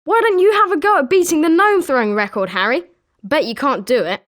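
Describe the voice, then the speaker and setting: A woman's voice: sits high, fairly quick, a bit bright. A woman with a British accent voicing an eager, sporting schoolboy wizard with chirpy, emphatic delivery in a video game. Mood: encouraging. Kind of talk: scripted video game character lines.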